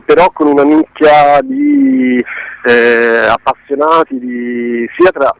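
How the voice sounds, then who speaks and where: A man's voice: mid-range voice, poor audio. A man giving an informal interview, explaining reflectively and often circling back to rephrase. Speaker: interviewed documentary co-director.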